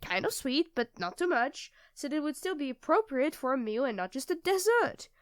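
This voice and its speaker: A woman's voice: sits high, very animated, moderate pace, no room sound, clean studio sound. A woman playing an affectionate, playfully teasing young girlfriend in an ASMR audio roleplay, talking expressively and dotingly to her partner. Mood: bewildered.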